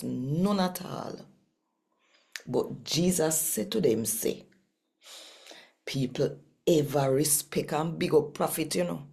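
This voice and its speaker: A Jamaican woman hosts and reads scripture in an exceptionally low voice. It has a dark, mellow tone with a noticeable gravelly creak, and she speaks in her Jamaican accent as a devotional reader. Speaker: channel host and scripture reader. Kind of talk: scripture reading and channel introduction in a devotional video.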